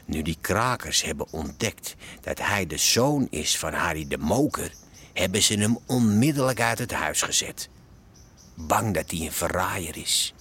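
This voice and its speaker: Deep, husky crime-serial narrator. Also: male voice, animated, measured pace, pushed and bright, heavily acted. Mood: grave. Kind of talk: scripted radio drama narration.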